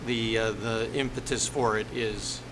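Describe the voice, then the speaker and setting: A middle-aged man speaking haltingly as a village attorney, formally explaining ordinances at a board meeting. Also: steady tone. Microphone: desk microphone on the dais. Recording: noisy meeting room with ventilation rumble.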